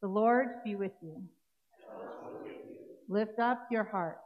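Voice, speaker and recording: A woman's voice: medium pitch, takes it slowly. Woman priest intoning prayers, solemn. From Canada. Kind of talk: liturgical reading. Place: church sanctuary with congregation. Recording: dull lo-fi livestream sound.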